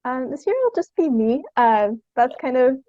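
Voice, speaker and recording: A woman's voice: high-pitched, big pitch swings, moderate pace, dark tone, no room sound, lo-fi sound. A young adult woman chatting informally as a theatre artist in an interview, with a giggly manner. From Canada. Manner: informal, often giggling mid-sentence. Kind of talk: casual interview chat.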